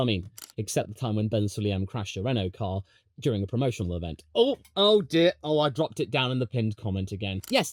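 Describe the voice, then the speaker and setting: A man's voice: mid-range voice, a little mellow, no room sound. A man giving opinionated video commentary that is scripted but broken by casual asides, with a sarcastic, theatrical manner. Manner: sarcastic, theatrical, mimics people he quotes.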